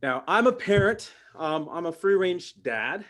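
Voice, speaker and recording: A middle-aged man, doing a stand-up comedy set, delivering deadpan punchlines and slipping into mock voices. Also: high voice, a little slow. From Canada. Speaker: parent.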